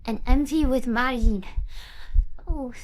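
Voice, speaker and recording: A woman playing a cute young streamer idol who is stressed and defiant yet wavering, in an animated drama. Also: high voice, slow, low-quality recording. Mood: flustered. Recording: noticeable background noise.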